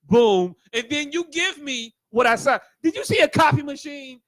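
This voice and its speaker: A man co-hosting a podcast in casual chat, animated and profane, riffing and joking. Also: extremely high pitch, normal speed, no echo. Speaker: podcast co-host.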